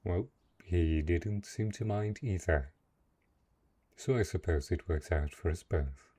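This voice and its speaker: Man acting a deep, gentle, lonely dark knight. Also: slow pace, husky, no room sound, exaggerated voice. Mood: quietly possessive. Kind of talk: slow, intimate fantasy audio roleplay.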